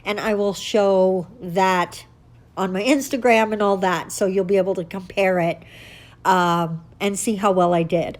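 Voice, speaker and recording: A woman's voice: mid-range voice, darkish tone. Stuffy-nosed woman chatting about nails. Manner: chatty, upbeat, self-correcting. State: stuffy nose from allergies. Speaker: nail art channel host. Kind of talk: casual tutorial chat.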